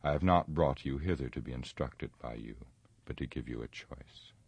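A very deep-voiced, haughty wizard played by a male narrator for a fantasy audiobook. He is grave and scornful, stretching out his mockery with pompous menace, in a dry, close recording. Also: creaky, husky, dry recording, heavily acted. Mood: sneering. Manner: sneering, pompous, drawn-out mocking delivery. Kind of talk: dramatic audiobook reading of fantasy.

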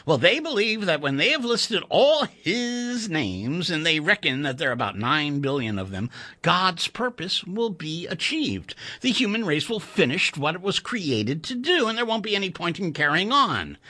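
A man voicing a nervous, excitable male computer engineer who stammers and talks urgently. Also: normal speed, full character voice. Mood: amused. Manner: high-strung, stammering, urgent.

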